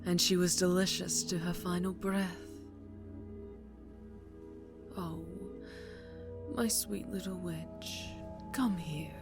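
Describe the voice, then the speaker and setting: A woman performs an imperious vampire mistress in a fantasy ASMR drama. She speaks low and very deliberately, slipping into breathy whispers. Her tenderness is mocking and full of menace, with faint music behind her. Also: fairly even intonation. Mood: menacing, mock-tender. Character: cruel, imperious vampire mistress gloating over her witch apprentice. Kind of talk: ASMR fantasy roleplay acting.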